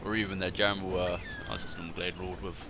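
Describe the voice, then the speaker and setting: A man with a somewhat low voice and a stuffy, cold-congested tone talks through a strategy game replay at his desk. He rambles, backtracks and corrects himself as he thinks aloud. A steady background noise runs under his voice. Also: quick pace. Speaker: gaming channel host. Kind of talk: casual game replay commentary.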